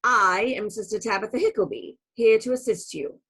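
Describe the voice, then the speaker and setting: Radio play nun, female actor: medium pitch, prim and formal, proud, earnest, firm. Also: lively intonation, moderate pace, fairly bright tone, husky. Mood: earnest welcome. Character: Sister Tabitha, earnest, proud, stern nun.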